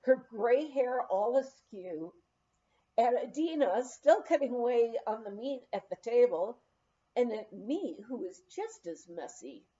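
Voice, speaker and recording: A woman's voice: a little high, expressive, measured pace, mellow. A woman reading a memoir aloud as scripted storytelling narration, occasionally stumbling over words, on a slightly muffled recording. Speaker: audiobook reader.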